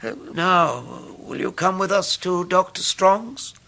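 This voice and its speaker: A slow, gravelly older gentleman, genial but hesitant. His voice is forceful and rises and falls in period radio-drama style. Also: high voice, expressive, exaggerated voice. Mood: genial, halting. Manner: hesitant, halting.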